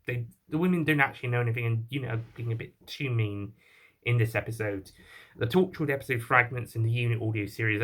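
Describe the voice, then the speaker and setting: A man with a medium-pitched voice delivers an off-the-cuff review as an online critic. He speaks in a halting, rambling manner, often repeating words and rephrasing his point midway through. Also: a bit bright. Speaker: online reviewer of audio drama. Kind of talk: unscripted spoken review.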